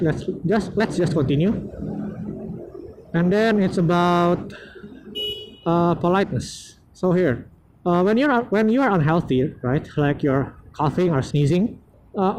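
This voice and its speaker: Young indonesian guy vlogging from his bike, kinda high voice, lots of uh and restarts, loud road noise. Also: rough recording. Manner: rambling, halting, many fillers and restarts. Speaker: motovlogger. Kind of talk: casual advice vlog while riding. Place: outdoors on a motorbike in traffic. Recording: vehicle noise on helmet mic. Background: road and engine noise.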